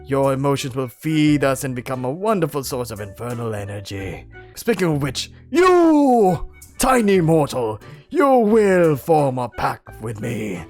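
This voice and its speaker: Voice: mid-range voice, sing-song, a little slow, darkish tone, faint music behind, voice pushed up. A storyteller voicing a tiny, young demon snake who is melodramatic, grandiose and easily offended.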